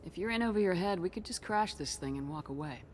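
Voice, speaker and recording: Actress doing a tough steady lady commander in a video game, low voice, straight to the point, faint noise. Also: quick pace, close to an everyday voice. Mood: concerned. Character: steady, direct human military commander woman.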